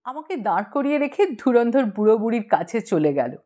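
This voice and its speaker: A woman's voice: a little high, big pitch swings, brisk, dark tone. A woman narrating a humorous short story as an audiobook reader.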